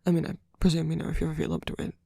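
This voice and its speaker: A sweet, doting vampire boyfriend, acted out by a performer, speaks in a very breathy half-whisper. He is gentle and attentive, halting and rambling softly through an ASMR comfort roleplay. The sound is close and dry, with a creaky edge. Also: medium pitch, completely flat delivery, no echo. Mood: fond, hesitant. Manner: hesitant, halting, rambling, with soft filler murmurs.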